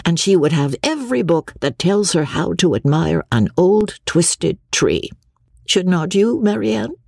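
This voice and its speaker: A woman voicing a mild, courteous young gentleman in a story, measured and gently teasing. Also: on the low side, big pitch swings, creaky, husky, no room sound, clean studio sound, voice pushed up, exaggerated voice.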